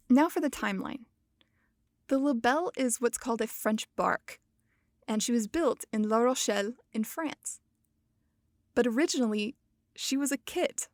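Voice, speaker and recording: A woman's voice: a little high, dry recording, clean studio sound. A woman hosting a podcast, explaining in a casual, playful and self-mocking way. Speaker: podcast host. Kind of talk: casual educational podcast talk.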